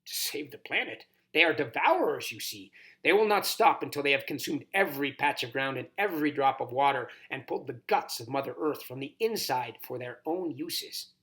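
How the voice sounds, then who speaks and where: A man's voice: medium pitch, expressive, moderate pace, hardly any pauses, pushed and bright. A man voicing a wise horned toad mentor in a children's storybook, grave and instructive with somber warnings. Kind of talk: audiobook character reading.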